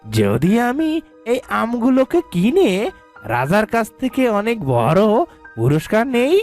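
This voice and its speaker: A woman's voice: mid-range voice, huge pitch swings, measured pace, a little mellow, soft background music. A woman playing a greedy, scheming man in a cartoon folk tale. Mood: greedy, calculating.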